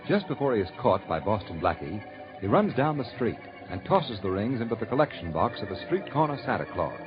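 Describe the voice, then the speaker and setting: A man announcing and recapping the story in measured, formal scripted narration. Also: fairly low pitch, very animated. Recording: muffled old radio broadcast with loud music.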